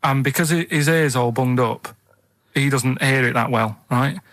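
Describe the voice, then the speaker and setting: A man's voice: mid-range voice, normal speed, a bit bright. A Northern English man from the Manchester area chatting casually on radio, telling rambling anecdotes in a matter-of-fact way and often checking in with "right?". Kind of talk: casual chat, rambling storytelling. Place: radio studio. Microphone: studio microphone.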